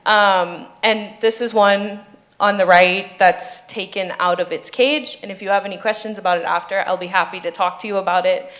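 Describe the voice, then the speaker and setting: An earnest young woman from the Bahamas talks through her ocean research on a panel, steady and explanatory. Her pitch sits in a middle range, and she stops often with a soft um. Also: moderate pace. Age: 20s. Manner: earnest, explanatory, frequent ums. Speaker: doctoral student. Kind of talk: panel discussion.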